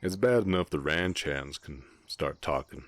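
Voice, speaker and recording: A very deep man's performance of an orc ranch hand speaks with an American Southern drawl in a western romance audio drama. He is gruff yet gentle, shy and protective, often halting over his words. The sound is dry and intimate. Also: lively intonation, no echo, full character voice. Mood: hesitant. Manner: bashful, halting, softly protective.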